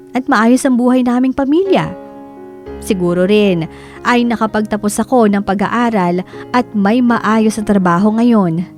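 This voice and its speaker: A humble Filipino working woman full of self-doubt, played by an actress, in radio drama style. She speaks hesitantly at a middle pitch, with music playing behind her. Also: natural voice. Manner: hesitant, humble, soft hums before answering. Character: self-doubting working woman who quit college to support siblings. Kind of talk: Filipino radio drama acting with reflective narration.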